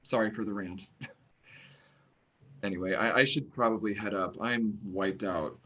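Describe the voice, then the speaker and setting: An actor playing a tired, opinionated young man in an online play reading, talking in a halting, wry, naturalistic way. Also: lowish voice. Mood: irritated, weary. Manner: halting, animated, wry talk. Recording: dull, lo-fi online recording.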